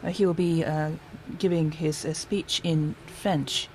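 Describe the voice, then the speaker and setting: Monotone man moderating. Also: a little high, measured pace, low-quality recording. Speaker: event moderator. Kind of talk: formal event introduction. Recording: noticeable background noise at conference.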